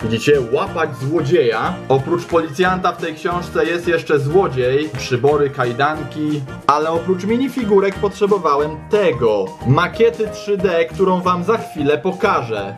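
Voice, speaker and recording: Young guy, slightly high voice, hyped slangy toy vlog host, music playing behind him. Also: lively intonation, unhurried. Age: young adult. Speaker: host and presenter of a toy review show. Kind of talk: playful news-show parody and toy review vlog. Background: background music.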